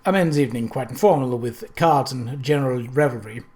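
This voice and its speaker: A man voicing a suave, wealthy older gentleman who is courtly, effusive and wryly amused in an audiobook. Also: mid-range voice, brisk, close to an everyday voice.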